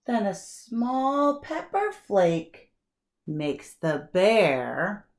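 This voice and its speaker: A woman reading a children's storybook aloud like a kindergarten teacher, expressive, with deliberate dramatic pauses and emphasis. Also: medium pitch.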